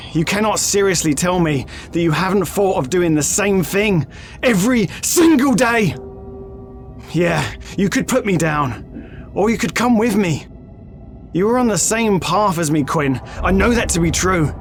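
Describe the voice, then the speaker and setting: A man playing a cynical, defiant veteran soldier in an audio drama, insistent and persuasive, pressing with pointed questions. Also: sits high.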